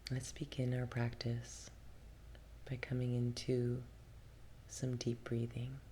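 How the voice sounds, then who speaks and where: A woman guiding an ASMR yoga relaxation, speaking softly and deliberately, often slipping into a whisper, with gentle instructions. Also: extremely low pitch. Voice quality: soft, breathy, often dropping into a whisper. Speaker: yoga nidra meditation guide. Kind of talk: ASMR guided relaxation and breathing practice. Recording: loud steady ambient noise.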